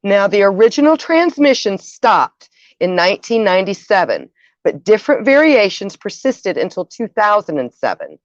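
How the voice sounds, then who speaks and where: A woman's voice: mid-range voice, big pitch swings, normal speed, dry recording, highs cut off. A woman hosting a show, presenting partly from notes and sometimes stumbling over words and correcting herself. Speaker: show host.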